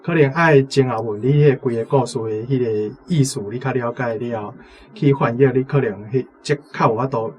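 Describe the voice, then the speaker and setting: A man's voice: medium pitch, a little fast. A man with a Taiwanese accent, a language teacher giving explanatory commentary off the cuff. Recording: music playing behind the voice. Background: instrumental music.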